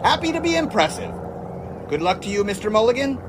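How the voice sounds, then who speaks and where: Man playing high-voiced, jaunty 1920s test pilot. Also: big pitch swings, brisk, noisy background. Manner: jaunty, chummy. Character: breezy, confident 1920s male test pilot. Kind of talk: audio drama acting.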